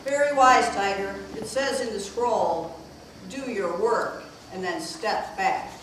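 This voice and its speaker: A woman's voice: mid-range voice, takes it slowly, fairly bright tone. A woman playing a serene, wise sage who teaches from scrolls in an amateur puppet play. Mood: serene, approving. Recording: very echoey, distant, background noise.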